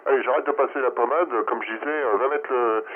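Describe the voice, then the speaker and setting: A man's voice: medium pitch, fairly quick, a little hiss or hum. A man chatting informally and jokingly as an amateur operator over a narrow radio link. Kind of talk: casual radio chat.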